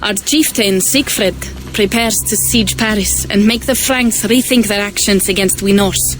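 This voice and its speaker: Actress as a Viking envoy woman, earnest, persuasive, soft music behind. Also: medium pitch, measured pace, lightly acted, low-quality recording.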